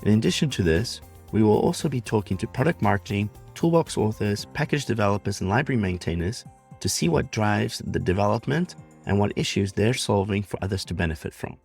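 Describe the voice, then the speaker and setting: A man's voice: fairly low pitch, animated, brisk, gravelly, darkish tone, soft background music. A man hosting a podcast, reading a polished intro and then interviewing his guest with enthusiasm. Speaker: podcast host.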